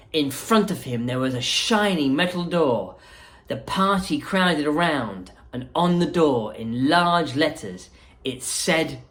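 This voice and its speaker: Woman, children's storybook narration, unhurried pace, very varied intonation, expressive, deliberate emphasis. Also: low voice. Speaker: school principal reading aloud.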